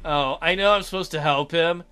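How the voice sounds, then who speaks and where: A man's voice: on the high side, normal speed, pushed and bright, clean tone, faint music behind. A man hosting a let's play, reading a visual novel aloud with crude, irreverent joking asides.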